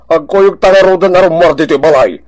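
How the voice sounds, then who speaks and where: An actor in an Ilocano radio drama playing an excitable, grumbling man who exclaims often. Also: fairly high pitch, fairly quick, natural voice. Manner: exclaiming, full of interjections.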